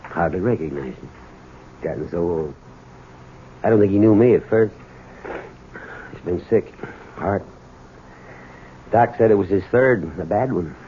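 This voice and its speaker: Bitter, gruff working man, tinny old radio. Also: sits low, lively intonation, unhurried, long pauses. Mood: subdued, bitter. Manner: gruff, colloquial, curt. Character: bitter, weary working-class gas station owner, hot-tempered. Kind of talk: 1970s radio drama acting. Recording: tinny, noisy old radio broadcast recording.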